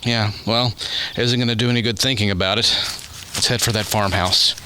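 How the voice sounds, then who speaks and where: A man playing a steady, reassuring scientist in a radio drama, measured and explanatory in his delivery. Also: fairly low pitch, a little hiss or hum. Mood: calm, easy.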